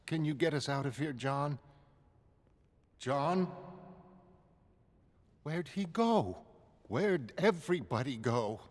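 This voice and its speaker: A man voicing a shaken, blunt young woman in a motion comic, halting and emotional. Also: on the high side, animated. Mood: shaken, pleading.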